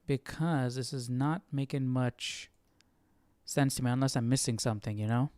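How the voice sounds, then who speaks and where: A man's voice: medium pitch, steady tone, normal speed, a little mellow, smooth, no echo. A young man hosting a casual study livestream, musing aloud as if to himself. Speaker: livestream host. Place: small indoor room.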